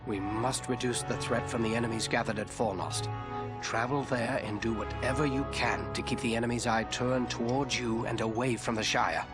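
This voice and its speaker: An actor playing a grave, commanding ranger chieftain in a fantasy game, speaking in a measured, guarded way. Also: male voice, mid-range voice, fairly even intonation, natural voice. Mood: solemn resolve. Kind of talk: dramatic fantasy game dialogue. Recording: orchestral game music behind.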